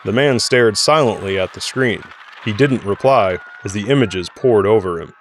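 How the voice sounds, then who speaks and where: A man's voice: fairly low pitch, normal speed, a little hiss or hum. A man narrating a scripted audio drama in the role of storyteller.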